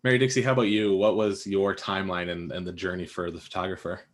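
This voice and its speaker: Low-voiced man hosting a casual panel. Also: flat delivery, quick pace. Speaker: podcast host and panel moderator. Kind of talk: casual conversational interviewing.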